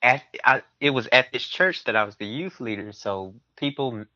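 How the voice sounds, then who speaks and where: A man's voice: medium pitch, animated, moderate pace, sharp tone, no echo. A young man from Mississippi telling candid personal stories as an interview guest, with hesitant starts and acted-out dialogue, on lo-fi audio. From Mississippi, southern US. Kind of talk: candid personal storytelling in conversation.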